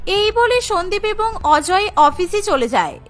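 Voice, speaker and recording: A woman's voice: extremely high pitch, big pitch swings, unhurried, a bit bright, soft background music. A woman narrating a scripted cartoon story.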